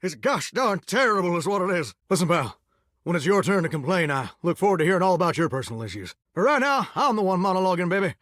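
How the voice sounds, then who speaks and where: A man's voice: sits high, big pitch swings, quick pace, fairly bright tone, no room sound. A man voicing a talking shower as a chatty, folksy cowboy charmer with a put-on Southern drawl, full of country sayings. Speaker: video game character. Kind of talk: game voice lines. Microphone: close studio mic. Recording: dry studio recording.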